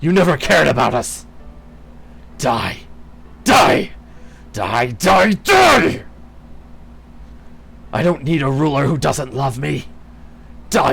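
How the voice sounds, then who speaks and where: A man's voice: mid-range voice, creaky, husky, heavily acted. A man voicing a loyal male fairy beast clan chief who pleads with his queen and later roars in fury. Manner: pleading, then roaring with rage.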